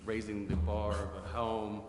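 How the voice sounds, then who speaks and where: Man, flat intonation, public meeting explanation, echoey hall with faint noise. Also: lowish voice, unhurried. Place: council chamber.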